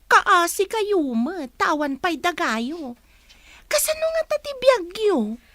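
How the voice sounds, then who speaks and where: A woman's voice: very high-pitched, very animated, takes it slowly, bright, forceful tone, dry recording, exaggerated voice. A woman playing a snooty, taunting young schoolgirl in a radio drama, drawing out her mocking words. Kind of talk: Filipino radio drama acting.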